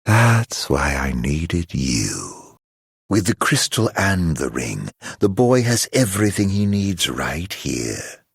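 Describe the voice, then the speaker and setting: An actor playing a mocking, theatrical immortal villain in a video game, drawling mock-polite taunts in a husky, gravelly voice. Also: male voice, low voice, big pitch swings, slow pace, no echo, studio quality, full character voice. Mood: sinister, gloating.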